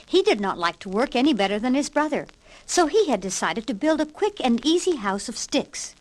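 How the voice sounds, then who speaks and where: A woman reading a scripted children's read-along story with expressive, emphatic delivery, on an old vinyl record. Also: high voice, a little fast, low-quality recording. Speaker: storybook narrator. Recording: 1960s vinyl record with hiss and pops.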